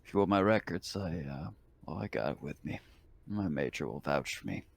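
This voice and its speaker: A man playing a gruff, world-weary military medic in a tabletop role-playing game, talking in a dry, laconic way. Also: a bass voice, animated, a little fast, pitched below the performer's own voice, full character voice. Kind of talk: improvised tabletop role-play.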